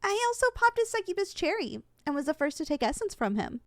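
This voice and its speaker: High-pitched, animated, indignant young succubus played by a woman. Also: fast talker, no room sound, clean studio sound, full character voice. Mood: defensive. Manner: indignant, sputtering, blunt. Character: possessive, flustered young succubus student, indignant and competitive. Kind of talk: ASMR audio roleplay acting.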